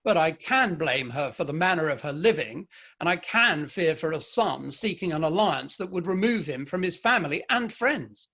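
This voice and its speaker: A man's voice: fairly high pitch, expressive, moderate pace, gravelly, pushed and bright, heavily acted. A man acting a stern, proud Victorian father and novelist in a play reading, with formal, emphatic speech. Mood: stern, indignant.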